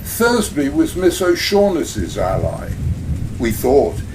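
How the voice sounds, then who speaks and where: A smooth, scheming crime boss, as an actor puts him on, talks in ornate, formal phrases. His pitch swings widely and he sits a little off the mic. A faint hiss runs under this radio play. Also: huge pitch swings, slow, distant mic, exaggerated voice. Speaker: actor in community audio drama.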